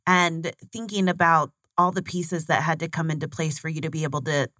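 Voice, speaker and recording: Woman interviewer, low register, earnest and encouraging, dry close sound. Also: fairly even intonation, fairly quick, bright, forceful tone, dry recording. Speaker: host. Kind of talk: interview conversation.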